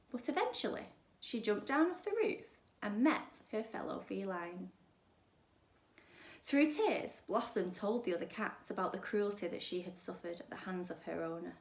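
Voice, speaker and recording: Woman reading a children's story, echoey room. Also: mid-range voice. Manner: dramatic, emphatic delivery. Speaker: primary school teacher reading a story.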